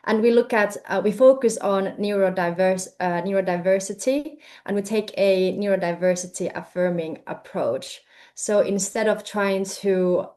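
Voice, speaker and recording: A woman's voice: mid-range voice, a little mellow. A young woman from Finland with a Finnish accent, presenting her research in a hesitant, filler-heavy way over a video call. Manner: hesitant, many fillers and restarts. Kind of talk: presentation talk.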